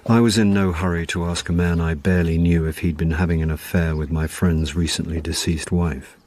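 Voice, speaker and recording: A man's voice: low-pitched, steady tone, fairly quick, a little mellow, a little hiss or hum, natural voice. An actor playing a wry, exasperated middle-aged British man in a radio drama, with a dry, sardonic delivery. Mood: wry, weary. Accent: British English. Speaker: actor playing the lead and narrator. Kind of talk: radio drama dialogue and narration.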